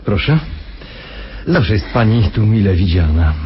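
An actor playing a courteous, controlling husband in a radio drama, smoothly insistent while keeping his wife confined. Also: on the low side, big pitch swings, measured pace, a little hiss or hum. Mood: courteous, reassuring. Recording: dull old radio drama recording.

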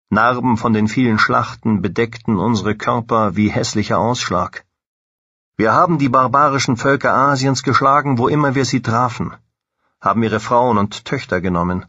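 An actor playing a weary, battle-scarred, homesick veteran soldier in a history audio play, speaking in a solemn, declamatory lament. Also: male voice, medium pitch, a bit bright, breathy edge, no echo, muffled recording. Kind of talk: dramatic monologue in a German history audio play.

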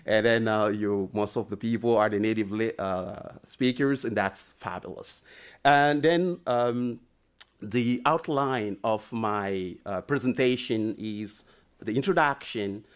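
Man giving a low-voiced lecture. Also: slow pace, clear, resonant tone. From Mali. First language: French. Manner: earnest, many "and then" links, rises in emphasis. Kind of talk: academic lecture. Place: lecture room, indoors. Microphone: desk microphone.

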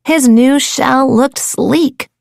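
A woman narrating a children's storybook in a dramatic, playful and deliberate way. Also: high voice, creaky, darkish tone, no echo, clean studio sound. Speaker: audiobook narrator.

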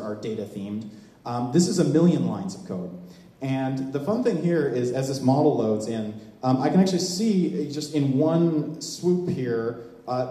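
A man giving a live demo talk to an audience as a software presenter, explaining in a halting way. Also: medium pitch. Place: large open indoor event space, on a small stage before a seated audience. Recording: echoey hall with long reverb.

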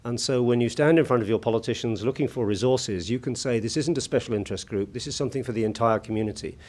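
A British man with a husky voice giving a formal conference talk from a lectern, measured and full of hesitant fillers. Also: mid-range voice, a little mellow. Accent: British English. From United Kingdom. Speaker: conference keynote speaker. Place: large conference hall at a lectern. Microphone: lectern microphone.

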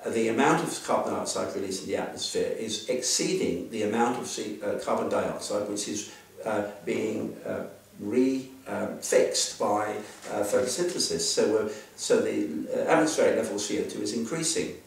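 Older male scientist, low gravelly voice, halting delivery, roomy echo, set back from the mic. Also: far from the mic. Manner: halting, many fillers and restarts. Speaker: scientist interviewee. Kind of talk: explaining to an interviewer.

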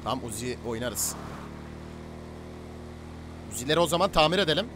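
A man's voice: mid-range voice, expressive, a little fast. A man streaming a roleplay game, chatting casually in slangy banter and bossing his crew around. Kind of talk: casual gaming livestream chat. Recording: game car engine noise behind voice. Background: video game vehicle sounds.

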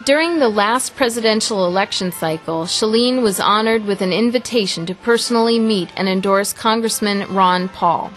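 A woman reading a scripted promotional introduction in a measured, formal way. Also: medium pitch. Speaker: narrator. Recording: music bed underneath.

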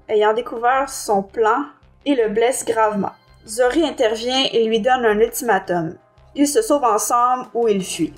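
A woman with a Quebec French accent co-hosting a podcast, reviewing a book in a somewhat hesitant, self-correcting way. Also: a little high. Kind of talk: book review, plot summary and discussion.

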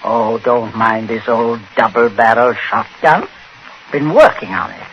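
A man acting in a 1940s radio drama as a coldly menacing patriarch who speaks deliberately, precisely and with command. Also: mid-range voice, highs cut off, natural voice. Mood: falsely genial menace. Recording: noisy old radio recording.

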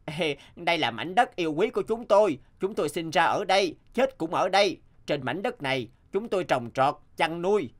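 An actor playing a dutiful, patriotic guard in an animated folktale, firm but polite, solemn and declamatory. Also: male voice, on the high side, moderate pace, few pauses, natural voice. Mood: proud, solemn.

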